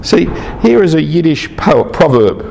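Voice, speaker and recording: A man's voice: on the high side, big pitch swings, unhurried, gravelly, a little mellow, long reverb tail, slight background noise, poor audio. A man from Australia, giving a lecture to a large group, chatty and teasing the audience.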